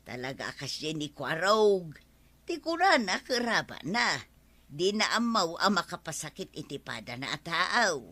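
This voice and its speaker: A woman's voice: on the low side, very animated, a little slow, bright, forceful tone, slight background noise, heavily acted. A woman playing a chatty, fussing village housewife with an Ilocano accent in a radio comedy drama. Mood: sympathetic, indignant.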